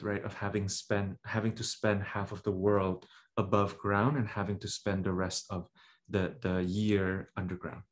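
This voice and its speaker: A man in his thirties from Indonesia giving a reflective online talk on a webcam, with many "right?" checks and "um" pauses. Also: on the low side. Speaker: symposium speaker. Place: indoor room at home. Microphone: webcam video call.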